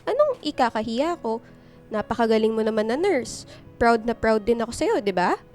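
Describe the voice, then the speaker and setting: An actress playing an affectionate, reassuring young girlfriend in a radio drama, with a sweet, expressive delivery. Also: fairly high pitch, normal speed. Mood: reassuring, tender. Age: young adult. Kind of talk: Filipino radio drama dialogue. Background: background music.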